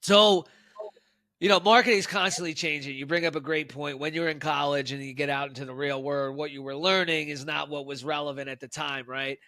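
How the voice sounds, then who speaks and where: A man with a high, bright voice hosts a business podcast. He sounds agreeable, affirming his guest's points before steering on with leading questions, and the recording is close and dry. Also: fairly even intonation, fairly quick, no echo. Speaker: podcast host. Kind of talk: podcast interview hosting.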